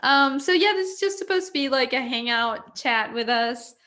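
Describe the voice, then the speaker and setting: A woman from Mexico speaks with a Mexican accent, chatting casually on a live video call. She is giggly and talkative, with a voice that sits a little high. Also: lively intonation, normal speed, pushed and bright. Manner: giggly, chatty, laughs often. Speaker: co-host. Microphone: computer mic on a video call.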